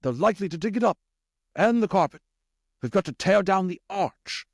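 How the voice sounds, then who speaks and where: A man voicing a weary, commanding rat leader in a storybook, giving deliberate, urgent orders. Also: high voice, lively intonation, gravelly, no room sound, studio quality, pitched above the performer's own voice, exaggerated voice.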